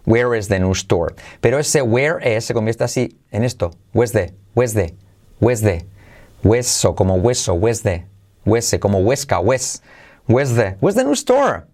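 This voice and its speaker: A man teaching English pronunciation, drilling phrases slowly and over again with playful asides. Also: on the low side, very animated, darkish tone. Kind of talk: lively pronunciation lesson.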